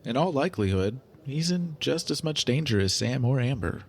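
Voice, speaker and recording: An actor playing a clinical, curious non-human researcher in an audio drama, formal and precise, fascinated by humans in a detached way. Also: male voice, medium pitch, animated, normal speed, close to an everyday voice. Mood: detached fascination.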